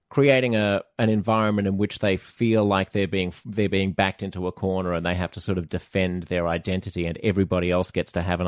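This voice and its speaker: Man, very deep voice, podcast host, thoughtful, halting, dry close sound. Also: no echo. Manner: thoughtful, hesitant, with restarts. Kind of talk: conversational interview.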